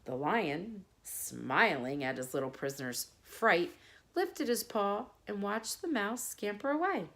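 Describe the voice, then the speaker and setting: A woman's voice: medium pitch, lively intonation, measured pace, a bit bright. A woman hosting a children's bedtime story segment, talking to young listeners in a simple, friendly way and reading aloud. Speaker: children's storytime host and reader.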